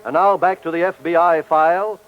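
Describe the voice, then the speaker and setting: Muffled sound, male announcer, fairly high pitch, formal, persuasive, vintage radio advertising. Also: fairly even intonation, brisk, soft background music. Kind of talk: 1940s radio sponsor pitch. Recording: muffled old radio recording.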